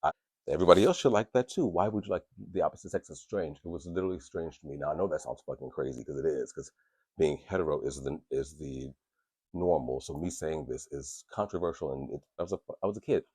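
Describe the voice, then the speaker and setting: A man with an extremely low, deep voice that carries a husky, grainy edge. He talks frankly into a podcast microphone, rambling and restarting, and swears freely. The sound is close and dry, with no room around it. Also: fast talker, mellow, no room sound. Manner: candid, profane and rambling, with many restarts. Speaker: podcast host. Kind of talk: casual podcast talk.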